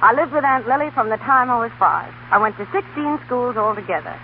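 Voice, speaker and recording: An actress playing a gentle, wistful young woman schoolteacher, quietly yearning, in a 1930s radio drama. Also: fairly high pitch, expressive, a little fast. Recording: muffled 1930s radio broadcast, clear hiss.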